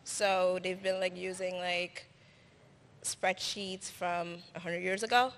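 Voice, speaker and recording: A woman's voice: fairly low pitch, flat delivery, slow, fairly bright tone, a little hiss or hum. Hesitant French-native woman speaking on stage. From grew up in Paris, France. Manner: hesitant, many fillers. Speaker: finance professional, conference attendee. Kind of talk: on-stage conversation. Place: conference stage with audience. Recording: long hall reverb.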